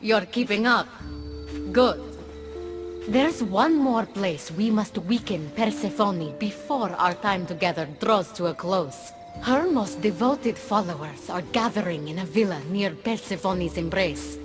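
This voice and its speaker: Casting: actress for sly female rebel plotter, video game; dry, superior, measured; mid pitch, music underneath. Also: animated, lightly acted. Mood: cool, commanding. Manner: dry, condescending, controlled. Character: cool, sly woman plotting poisonings as a rebel ally. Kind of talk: video game cutscene acting. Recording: music behind the voice.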